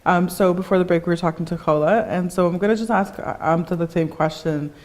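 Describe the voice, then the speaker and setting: A woman with a very low-pitched voice hosts a talk show interview. She rambles loosely, repeating phrases and leaning on fillers as she sets up questions for her guests. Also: steady tone, quick pace, darkish tone. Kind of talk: casual interview hosting.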